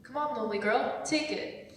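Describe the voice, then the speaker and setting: A brash and defiant young troublemaker acted by a woman in a staged drama reading. The voice is fairly high and sounds distant, with heavy hall echo and faint noise. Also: moderate pace, fairly bright tone. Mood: brash, defensive.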